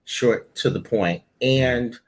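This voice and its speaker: A man's voice: mid-range voice, takes it slowly. A man, a voiceover audition director, answering interview questions in a conversational way with frequent ums and restarts.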